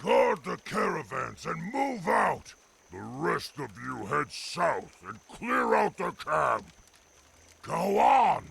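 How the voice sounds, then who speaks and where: An actor playing a ruthless, menacing scavenger boss in a strategy game, with a rough, gravelly voice and a threatening, commanding delivery. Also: male voice, a little high, big pitch swings, takes it slowly, a bit bright, full character voice. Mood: barking orders. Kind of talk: strategy game villain dialogue.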